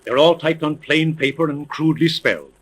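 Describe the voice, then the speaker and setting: A man's voice: on the high side, brisk, fairly bright tone. An actor playing a wealthy, anxious father under threat, speaking formally and urgently in a 1930s radio mystery serial. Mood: alarmed.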